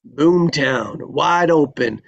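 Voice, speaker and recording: A man's voice: on the high side, slow, creaky, no echo, highs cut off, full character voice. A man voicing a jovial old frontier marshal with folksy western talk, in a screenplay table read. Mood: jovial, knowing.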